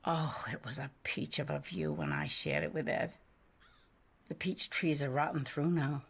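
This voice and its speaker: An actress playing a weary, haunted older American widow in a game cutscene, telling her story wistfully in a husky voice that often drops to a breathy hush. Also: low voice, sing-song, heavily acted. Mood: wistful, bitter. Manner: slow-burning, wistful storytelling. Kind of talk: dramatic game cutscene monologue.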